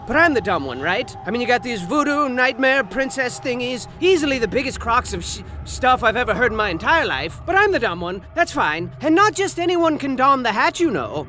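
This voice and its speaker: A manic, mock-dramatic game narrator with a very high male voice. He swoops wildly in pitch, telling his tale like a sarcastic children's storyteller who keeps slipping into silly asides, with music playing behind him. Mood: sulky sarcasm, then grand, whimsical. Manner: swings from grand declaiming to muttered asides and silly sound effects. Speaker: cutscene narrator of a comedic video game. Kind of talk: theatrical comedic storytelling narration.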